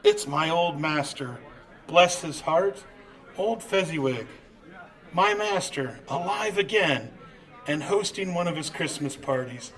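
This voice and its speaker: A man's voice: fairly high pitch, animated, slow, a bit bright. A man playing an anxious, wistful old miser in old-time radio drama style, stammering and exclaiming. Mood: delighted, nostalgic.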